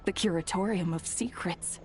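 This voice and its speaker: A woman playing a gossiping townswoman in a video game cutscene, confiding and hushed as she passes on news. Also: moderate pace, music in the background, natural voice. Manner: confiding, lowers voice to share news. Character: gossiping townswoman, knowing and conspiratorial.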